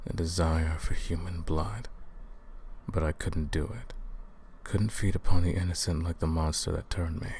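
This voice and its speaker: Man playing a very deep, gravelly brooding vampire hunter. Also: a little mellow, a little hiss or hum. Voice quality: low, gravelly. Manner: measured, brooding, addresses listener directly. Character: centuries-old vampire who hunts vampires, brooding, menacing, world-weary. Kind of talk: scripted ASMR audio roleplay, dramatic monologue to listener.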